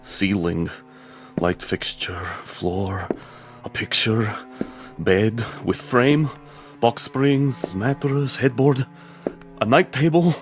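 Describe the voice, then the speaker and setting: An actor playing a tense, methodical army major in a vintage television drama, speaking in deliberate, halting, terse phrases. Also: male voice, medium pitch. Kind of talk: 1960s television drama acting.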